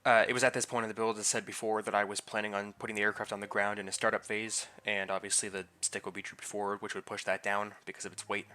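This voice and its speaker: A fairly low-voiced man talks through his hobby build in an easy, rambling way, full of fillers and restarts. His tone is bright and forceful, and a lingering head cold leaves him a bit nasal and stuffy. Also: little pitch movement, fast talker, hardly any pauses. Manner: loose and chatty, with many fillers and restarts. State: getting over a head cold, slightly congested. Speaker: scale model builder and channel host. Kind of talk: casual voice-over narration of a model build.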